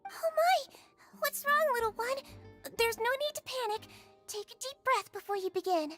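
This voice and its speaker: A woman voicing a gentle, caring small female nurse in an anime game, soothing and polite with a doting bedside manner. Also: extremely high pitch, animated, normal speed, soft background music. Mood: concerned.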